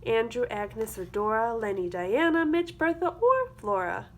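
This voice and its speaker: A woman reading a children's picture book aloud as a teacher, with expressive, rhythmic delivery. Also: a little high, a little slow. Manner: rhythmic, expressive delivery of rhyming verse with explanatory asides. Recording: clear background noise.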